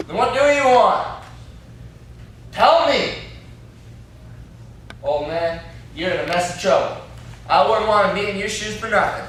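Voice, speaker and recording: A man's voice: high voice, lively intonation, a little slow, pauses often, fairly bright tone. A young man playing an indignant, blustering country captive in an amateur stage play, with a folksy, complaining, threatening way of talking. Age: young adult. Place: classroom-like room, indoors. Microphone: far camera. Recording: echoey room, distant camera mic, faint noise.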